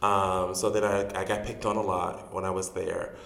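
Brief: Chicago-raised man, 40s, chatty interview guest, lower voice, reverberant room. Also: normal speed, gravelly. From Chicago, USA. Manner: chatty, animated, laughs often. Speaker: guest, drag performer and actor. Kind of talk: casual interview.

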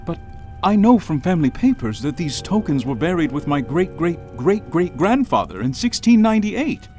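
A man playing a frightened, high-strung writer in a melodramatic radio drama. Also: very animated, moderate pace, dark tone, faint music behind. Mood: horrified. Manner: overwrought, melodramatic. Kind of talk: theatrical radio drama acting.

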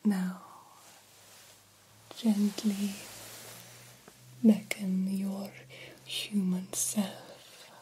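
A woman playing a vampire doctor in an ASMR roleplay, guiding a young fledgling with formal, deliberate, hypnotic instructions. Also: medium pitch, level tone, warm tone, a little hiss or hum, low-quality recording. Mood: intent, encouraging. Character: stern yet encouraging female vampire doctor mentoring a fledgling.

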